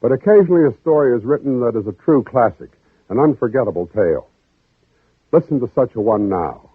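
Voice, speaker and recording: A man narrating an old-time radio drama with solemn, measured, deliberate phrasing. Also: mid-range voice, lively intonation, husky, no room sound. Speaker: narrator and program host. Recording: muffled old radio broadcast, faint music.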